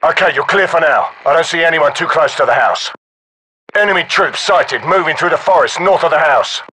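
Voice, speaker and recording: Casting: methodical game sniper on overwatch, cool head, slightly raised pitch, tense edge, clipped matter-of-fact reports. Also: male voice, moderate pace, bright, forceful tone, husky, rough recording. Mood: alert, controlled. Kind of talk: military game radio reports.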